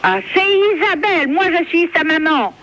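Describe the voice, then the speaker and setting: A woman's voice: very high voice, moderate pace, fairly bright tone. A woman in her fifties from France chatting casually on a phone call, accommodating and hesitant. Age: 50s. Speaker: member of the public receiving prank call. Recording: phone call recording with faint noise.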